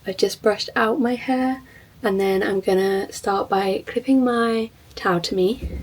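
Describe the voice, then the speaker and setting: A young woman from the UK chatting casually to camera as a vlogger, her voice a little croaky from being ill, self-deprecating and thinking aloud as she works. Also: mid-range voice, darkish tone, recorded from a distance, a little hiss or hum, poor audio. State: slightly croaky from illness. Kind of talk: casual vlog talk to camera. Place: home, in front of a mirror.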